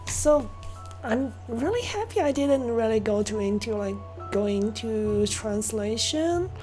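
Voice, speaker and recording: Young woman w chinese accent streaming, slow mellow talk, rambly, faint game music under. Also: on the high side, expressive, poor audio. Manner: rambling, many fillers and soft laughs. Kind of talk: casual stream chat.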